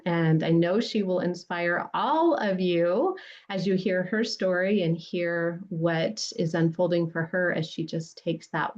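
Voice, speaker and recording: A woman hosting a podcast interview, speaking with effusive praise for her guest. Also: fairly low pitch. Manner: warm, effusive praise, faith-centered. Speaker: podcast host. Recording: dull lo-fi sound.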